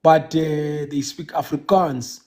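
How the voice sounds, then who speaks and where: A South African man whose first language is Xhosa, calling in to a casual live chat and talking haltingly, with many restarts and fillers. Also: a little high, fairly even intonation, warm tone. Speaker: caller.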